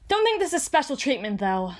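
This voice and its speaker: A woman playing a frazzled, proud young female CEO in an ASMR roleplay, halting and stammering as she corrects herself. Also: sits high, sing-song. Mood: flustered, defensive.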